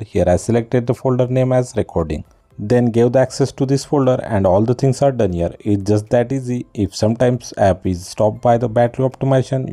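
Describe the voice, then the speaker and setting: Male tech channel host, very mellow dark tone, mid-range pitch, scripted how-to read. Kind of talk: scripted tutorial narration.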